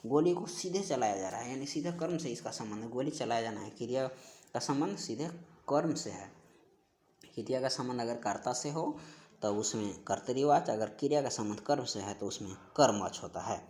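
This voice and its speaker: Man teaching grammar, steady explaining. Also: medium pitch, fairly quick. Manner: explains steadily, repeats key words and answers. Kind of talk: exam-prep grammar lesson.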